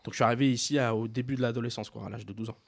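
A man's voice: medium pitch, steady tone, racing, creaky. A man in his thirties from Algeria, talking candidly as a guest in a casual conversation and telling personal stories.

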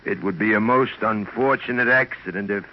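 A man's voice: on the low side, moderate pace, close to an everyday voice. A man playing a scheming villain in an old radio drama, sly and menacing. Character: scheming, smooth-talking villainous property buyer. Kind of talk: 1940s radio acting. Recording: muffled old radio recording.

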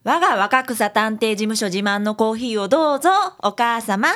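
An actress playing a breezy, capable detective's assistant in a radio drama, expressive and brisk. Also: female voice, fairly high pitch, lightly acted. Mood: resolved, kindly.

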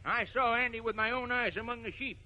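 An actor playing a blunt, accusing, hard-headed sheep rancher in a 1950s radio drama. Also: male voice, very high-pitched, fairly even intonation, fast talker. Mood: hostile, determined. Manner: blunt, sneering, confrontational. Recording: muffled, noisy old radio recording with crowd.

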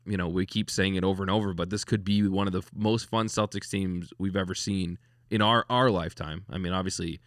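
Male podcast host, low voice, dry close sound, rambling casual sports talk. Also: fairly even intonation, fairly quick, hardly any pauses, dry recording. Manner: rambling, lots of restarts and fillers, laughs easily.

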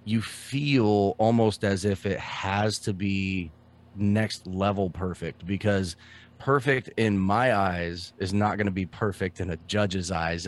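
A man co-hosting a podcast in casual chat, loud and excitable, swearing freely. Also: on the low side. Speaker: podcast co-host.

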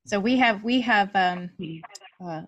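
A woman's voice: mid-range voice, a little slow, fairly bright tone, dull audio, a little hiss or hum. A woman with a mid-range voice hosts an interview over a video call. She sounds upbeat and welcoming as she introduces her guests and steers the conversation. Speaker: host.

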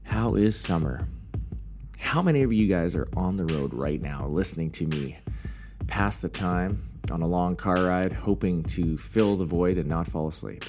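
A man's voice: sits low, lively intonation, moderate pace, music in the background. A man hosting a podcast in a casual, rambling way, with a jokey, self-deprecating manner. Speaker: podcast host.

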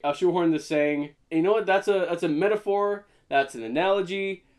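A man's voice: high voice, normal speed. A young man co-hosting a casual podcast, joking around with his friend, often stammering and restarting his sentences. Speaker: podcast co-host. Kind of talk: casual banter.

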